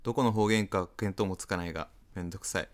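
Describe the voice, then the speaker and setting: A man playing an apathetic, antisocial smoker who narrates a radio drama in a deadpan manner. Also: low-pitched, quick pace, natural voice. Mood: deadpan, weary. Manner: deadpan inner monologue. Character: apathetic, antisocial male smoker narrating his reluctant encounters.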